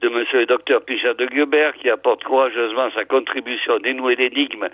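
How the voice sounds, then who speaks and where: A hoarse man presents a local radio programme, reading old articles aloud in a halting way full of fillers. His voice sits at a middle pitch, and it comes through thin and phone-like, with a dry, close sound. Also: steady tone, dry recording. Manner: hesitant, many fillers and restarts. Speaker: radio host.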